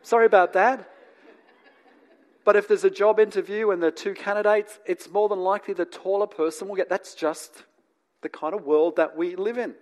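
A man from England who grew up in New Zealand and lives in Australia, preaching in a conversational, teacherly way with asides to his listeners and deliberate pauses. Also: high-pitched, fairly even intonation, darkish tone. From born in England, grew up in New Zealand, lives in Australia. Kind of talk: conversational sermon to an audience.

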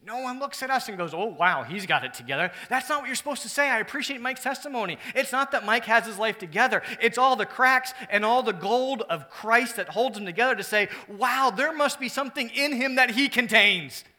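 A man preaching a sermon to his congregation, moving between loud, emphatic stretches and hushed, earnest ones, with joking asides to the room. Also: very high voice, expressive, a little fast, few pauses. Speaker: pastor.

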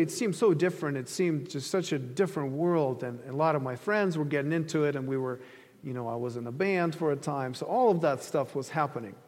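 High-voiced male preacher in a reverberant hall. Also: a little mellow. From Ukraine. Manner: conversational, illustrative storytelling. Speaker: pastor preaching to a congregation. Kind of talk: sermon. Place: large reverberant room, preaching to an audience.

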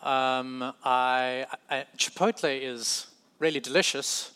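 A man with a South African accent preaches in a bright, forward voice. He keeps it conversational, catching and fixing his own wording as he goes and slipping in easygoing asides. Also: mid-range voice, steady tone, takes it slowly, smooth. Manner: conversational, self-correcting, with light humorous asides. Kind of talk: sermon.

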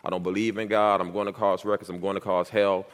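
Ordination candidate, man in his thirties, low voice, slight stutter, emphatic and repetitive delivery, long church echo. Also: level tone, fast talker. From Miami, United States. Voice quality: speech impediment, stutters when nervous. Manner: earnest, repetitive, emphatic storytelling. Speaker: youth pastor being ordained. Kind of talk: personal testimony and doctrinal answers in church. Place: church sanctuary. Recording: reverberant church hall.

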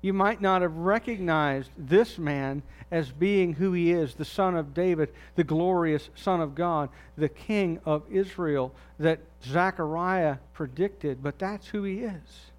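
A man preaching a sermon in a conversational way, dropping low and soft on key phrases. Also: measured pace, few pauses. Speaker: pastor.

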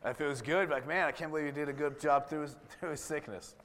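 A man in his thirties with a rough voice, preaching a sermon and reading scripture, talking conversationally with frequent ums and restarts. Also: fairly high pitch, steady tone, fast talker, fairly bright tone, long reverb tail, a little hiss or hum. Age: 30s.

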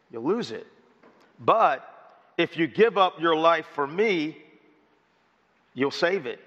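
A slightly hoarse man preaching a sermon and reading scripture, chatty and self-joking, with stammered restarts. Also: a little high, sharp tone. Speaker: pastor. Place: church sanctuary. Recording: dull sound with long hall reverb.

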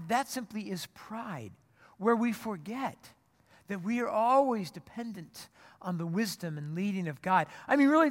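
A pastor delivers a sermon in a plain, conversational way, earnest and direct. The voice sits in a middle pitch and often stumbles over a repeated word before going on. It rings through a church sanctuary with a long reverb. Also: a bit bright. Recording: long reverb of a large hall.

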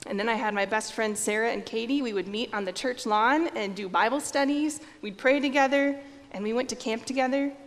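A young woman from Iowa, a pastor, preaching a sermon with earnest, measured storytelling. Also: a little high, long reverb tail. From Iowa, United States. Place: church sanctuary.

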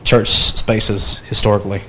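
A man's voice: fairly low pitch, little pitch movement, a little slow, sharp tone, slightly rough, rough recording. A man in a church discussion group giving an earnest, reflective answer.

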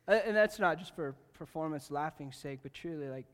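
A man preaching a sermon to his congregation, animated and jokey, swinging from loud bursts to quiet asides and talking straight to the room. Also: fairly high pitch. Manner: jokey, talks directly to the congregation. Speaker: pastor. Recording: long reverb of a large hall.

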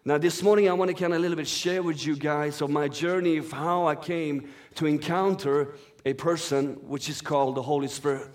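A man's voice: on the high side, steady tone, brisk. A man born in Iran and raised in Sweden, whose first language is Farsi, preaching a sermon as a guest speaker and reading scripture in an earnest, flowing way.

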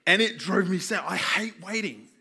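A man preaching a sermon with personal stories, earnest and emphatic, using repetition and deliberate pauses. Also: high voice, creaky, sharp tone, husky. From Australia. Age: 20s. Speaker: youth pastor preaching.

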